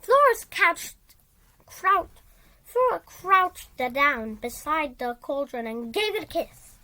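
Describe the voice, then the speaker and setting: A woman reading a children's story aloud in a halting way, repeating and correcting words as she goes. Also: high voice, very animated, bright, forceful tone, poor audio. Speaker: storybook reader.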